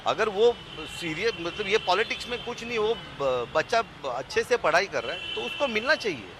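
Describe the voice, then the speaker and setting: High-voiced man in loud street noise. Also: expressive, moderate pace. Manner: hesitant, restarting, emphatic. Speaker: interviewee. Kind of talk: street interview opinion. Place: outdoors on a city street.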